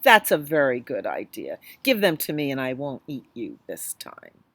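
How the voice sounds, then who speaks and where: A woman voicing a vain, boastful male tiger in a read-aloud story, speaking low and slowly. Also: huge pitch swings, fairly bright tone, no room sound, full character voice. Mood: bargaining.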